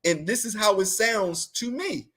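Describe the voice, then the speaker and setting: A man's voice: sits high, lively intonation, unhurried, fairly bright tone, husky. A man hosting a show, chatting casually and comically, slangy and rambling, often laughing. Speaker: host. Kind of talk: comedic casual chat.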